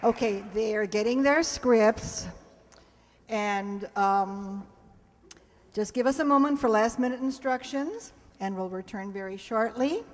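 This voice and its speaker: A woman's voice: on the high side, slow. A woman hosting a live show on stage, addressing an audience in an informal way with ad-libbed asides. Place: hall before a live audience. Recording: hall reverb, live stage recording.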